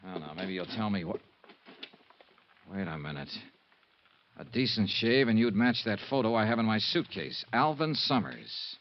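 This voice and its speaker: An actor playing a sardonic, world-weary insurance investigator in wry 1950s radio drama style. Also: male voice, on the low side, sing-song, moderate pace, pauses often. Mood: cool, wary. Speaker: lead actor and narrator of a radio detective serial. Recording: muffled, noisy old radio recording.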